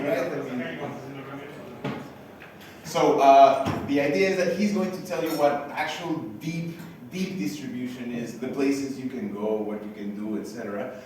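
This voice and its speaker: A man hosting a conference session, introducing the speaker in a casual, filler-heavy way. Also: mid-range voice. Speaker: event host. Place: large hall. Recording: echoey hall from far off, faint hiss.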